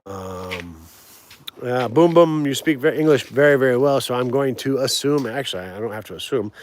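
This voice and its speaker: A man's voice: medium pitch, animated, moderate pace. A man hosting a casual outdoor live stream, rambling, repeating words and laughing often.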